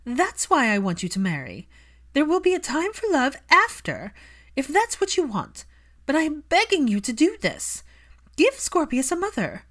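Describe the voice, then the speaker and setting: Imperious ailing noblewoman and mother, played by a female narrator, higher-pitched, swooping, strained and insistent, for story narration. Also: wildly swooping pitch, normal speed, a bit bright, heavily acted. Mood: pleading. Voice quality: strained, emotional. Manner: emphatic, imperious.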